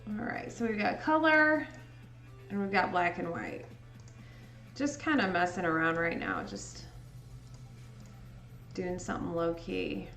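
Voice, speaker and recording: Slow, relaxed Midwestern woman livestreaming. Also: fairly low pitch, vocal fry. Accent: Midwestern American. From Indiana, US Midwest. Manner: slow, relaxed, chatty with frequent laughs. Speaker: livestreaming photo editor. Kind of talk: casual livestream chat with viewers. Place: indoor home room. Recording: echoey room with faint music.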